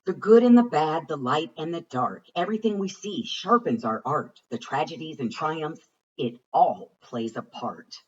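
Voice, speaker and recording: A man reading a rhyming children's storybook aloud with a rhythmic, expressive and deliberate delivery. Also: on the high side, slightly rough, dull audio. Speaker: reader of a children's picture book.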